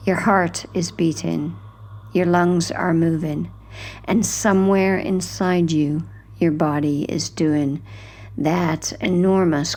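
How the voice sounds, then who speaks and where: A woman with a low voice narrates a guided sleep hypnosis. Her delivery is very slow and gentle, with a pronounced creak in the tone. Phrases are hushed and soothing, fading softly at their ends. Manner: gentle, hushed, unhurried, with soft trailing phrase endings.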